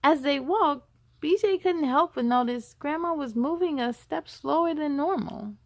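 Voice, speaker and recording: A woman reading a children's storybook aloud as a storytime host, with expressive, emphatic delivery. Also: high voice, a little slow. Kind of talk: animated children's storybook narration.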